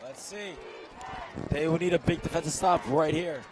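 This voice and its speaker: A young man with a hoarse, strained voice doing excitable live play-by-play commentary outdoors at a football game. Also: a little high, normal speed. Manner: excitable, shouts on big plays. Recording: loud outdoor stadium crowd noise.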